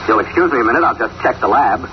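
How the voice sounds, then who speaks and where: A man's voice: medium pitch, expressive, racing, lightly acted. An actor playing an outwardly polite man hiding a murderous scheme, in 1940s radio drama style. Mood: smooth, friendly. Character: scheming would-be killer, male, outwardly smooth and polite. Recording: dull, noisy vintage radio recording.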